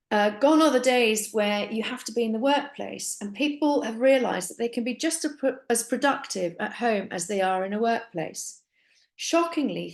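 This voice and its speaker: Middle-aged woman, kinda high voice, earnest upbeat sales talk, speaks right to you. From Finland. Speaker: business opportunity presenter. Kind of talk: persuasive sales presentation.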